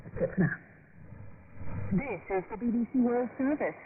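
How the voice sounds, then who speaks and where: A woman's voice: a little high, animated, normal speed. A woman presenting a news programme from a script, heard over a shortwave radio broadcast. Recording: noisy narrow-band shortwave radio broadcast.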